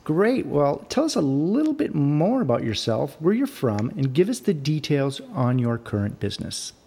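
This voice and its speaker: A man's voice: a little high, moderate pace, vocal fry, breathy edge. A man hosting a podcast, reading a sponsor ad and a guest introduction, then asking interview questions. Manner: scripted and even when reading, plain and direct when asking. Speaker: podcast host.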